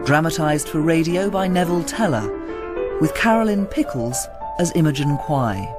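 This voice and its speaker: Male radio announcer over loud music. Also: fairly high pitch, expressive, normal speed. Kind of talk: scripted radio drama introduction.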